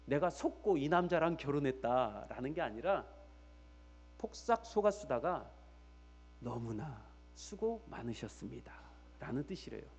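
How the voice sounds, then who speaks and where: A man's voice: mid-range voice, expressive, measured pace, dull audio, a little hiss or hum. A man preaching a church sermon as pastor, emphatically repeating key phrases and putting rhetorical questions straight to his listeners.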